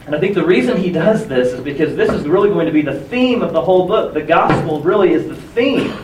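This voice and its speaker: A hoarse young man preaching a sermon in a conversational, self-deprecating way, with many restarts. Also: on the high side, expressive, fairly quick, background noise. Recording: echoey room from far off with faint ambience.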